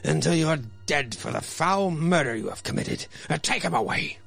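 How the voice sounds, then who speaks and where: A man's voice: on the high side, animated, normal speed, creaky, a bit bright, soft background music, voice pushed up, full character voice. A man playing a stern, condemning judge with solemn declamation in a radio play.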